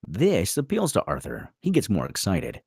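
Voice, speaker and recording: Husky, very animated male narrator. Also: low voice, normal speed, no echo, studio quality. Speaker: narrator reading stage directions. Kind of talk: dramatic scripted narration at a table read.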